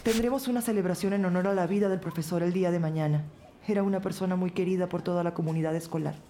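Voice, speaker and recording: Woman as a quick-spoken school director. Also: lowish voice, fairly even intonation, mellow, slight background noise, lightly acted. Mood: courteous, solemn. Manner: formal, courteous. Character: courteous female primary school director. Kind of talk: audio drama acting.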